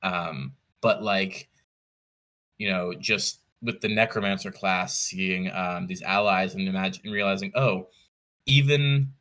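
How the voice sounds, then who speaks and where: A man being interviewed in a casual storytelling style, picking his words haltingly and correcting himself as he explains details with keen interest. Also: deep voice, bright, forceful tone. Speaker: podcast guest, competitive card game player.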